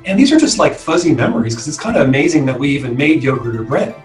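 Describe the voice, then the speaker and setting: A man's voice: fairly high pitch, big pitch swings, fast. A middle-aged American man reminiscing casually and ramblingly, often hedging with filler phrases. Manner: rambling, hedging with frequent "you know". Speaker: interviewee, former student co-op member. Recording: roomy, distant mic, music behind.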